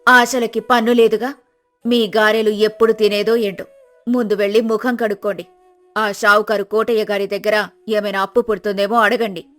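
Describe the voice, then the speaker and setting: A woman's voice: medium pitch, level tone, moderate pace, fairly bright tone, soft background music, close to an everyday voice. A woman voicing a shrewish poor village wife, scolding and complaining, in a folk tale. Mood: nagging, exasperated.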